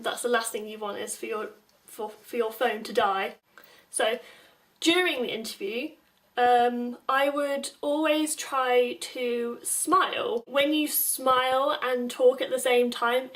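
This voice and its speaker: A young woman giving informal advice to viewers in a measured, explanatory way. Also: fairly high pitch. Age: young adult. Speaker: advice video presenter. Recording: distant microphone.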